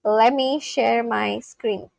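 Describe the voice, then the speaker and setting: A woman's voice: a little high, fairly even intonation, takes it slowly, vocal fry, a little mellow, no echo. A young woman from India with an Indian English accent, chatting casually on a live stream, rambling with lots of fillers and restarts. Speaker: livestream host.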